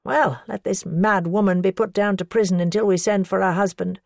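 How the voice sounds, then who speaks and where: A woman's voice: mid-range voice, fairly even intonation, moderate pace, creaky, darkish tone, no echo, studio quality, natural voice. A woman voicing a stern male bishop who rebukes and mocks a woman before him. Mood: scornful. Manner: scornful, rebuking.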